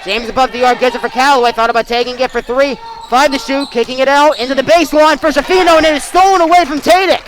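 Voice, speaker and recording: A man's voice: very high voice, fairly even intonation, fast, hardly any pauses, fairly bright tone. A young man working as a sportscaster, giving emphatic, projected sports broadcast commentary. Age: young adult. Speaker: sportscaster, play-by-play announcer.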